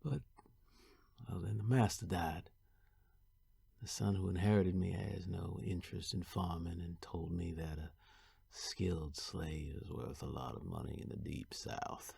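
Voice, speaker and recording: A male voice actor playing a young enslaved man fleeing to freedom, weary but determined, in a husky, breathy, heartfelt first-person historical narration. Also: sits low, steady tone, measured pace, dark tone, no room sound, full character voice. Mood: reflective, resolute. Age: young adult.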